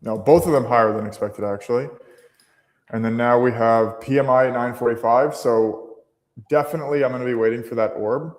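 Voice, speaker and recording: A man's voice: mid-range voice, fairly even intonation, fairly quick, long reverb tail. Chatty man thinking aloud on a trading stream. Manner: chatty, thinks aloud with many fillers and restarts. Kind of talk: casual live-stream chat.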